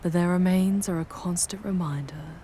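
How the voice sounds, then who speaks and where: A woman voicing a charming, darkly seductive demoness in a scripted ASMR roleplay, speaking in measured, ornate, ominous phrases that drift toward breathy near-whispers. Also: fairly low pitch, dark tone, a little hiss or hum, lightly acted. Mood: ominous, solemn. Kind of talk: scripted ASMR audio roleplay, dramatic monologue.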